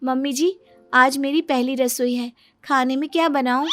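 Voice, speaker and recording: A woman's voice: high-pitched, steady tone, moderate pace, music in the background, close to an everyday voice. A woman playing a young new daughter-in-law in a cartoon story. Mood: meek, polite.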